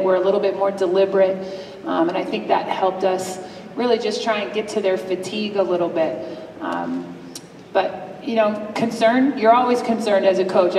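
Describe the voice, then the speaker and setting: A woman coach in her forties answers reporters in a measured, deliberate way, pausing with frequent ums. Her voice carries the long reverb of a big press room. It is picked up from a distance over steady room noise. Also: medium pitch. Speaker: head coach. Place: large press room with a table. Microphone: table mic from a distance. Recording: echoey hall, distant mic, room noise.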